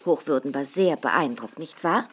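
Manor lady, radio drama, animated intonation, poised, polished, strong-willed, chiding. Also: low voice, moderate pace, slight background noise. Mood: smooth, chiding. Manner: chiding, socially polished, firm.

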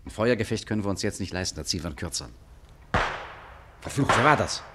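Lively, cocky gangster boss. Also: male voice, lowish voice, quick pace, slightly rough. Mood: nonchalant, scoffing. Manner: breezy, dismissive, sarcastic. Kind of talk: 1950s German radio play acting.

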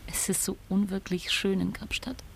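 An actress playing a grieving young mother in a radio drama, speaking intimately and wistfully in a breathy voice. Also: mid-range voice, little pitch movement, moderate pace. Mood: tender, sorrowful. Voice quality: husky, breathy. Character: grieving young mother talking to her absent husband.

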